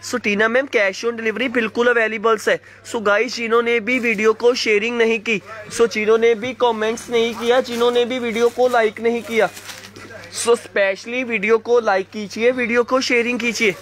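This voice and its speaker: A man running a fast live sale of clothing, calling viewers by name and coughing from a sore throat. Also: extremely high pitch, flat delivery, a bit bright. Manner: fast hyped patter, addresses viewers by name. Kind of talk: live sales pitch to viewers. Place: indoor shop floor, clothes laid on mat.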